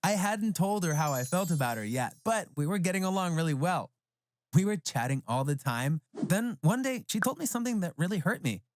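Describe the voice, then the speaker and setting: A narrator reading a scripted first-person story for an animated tale. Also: medium pitch, fairly quick, dry recording. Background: background music.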